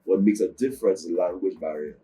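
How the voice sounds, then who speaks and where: A young man from Nigeria, a first-language Yoruba speaker, explaining in a measured and earnest way as a guest musician in an interview. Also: low voice, dark tone. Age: young adult. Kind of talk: interview answers.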